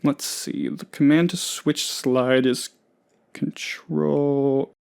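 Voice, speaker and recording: A man's voice: on the high side, steady tone, drawn-out words, creaky, darkish tone, clear, resonant tone, heavily acted. A man playing an eccentric, eager, scatterbrained office worker in a comedic video game, rambling earnestly about absurd things. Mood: absorbed, muttering. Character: eccentric, eager, scatterbrained male office colleague, cheerfully odd.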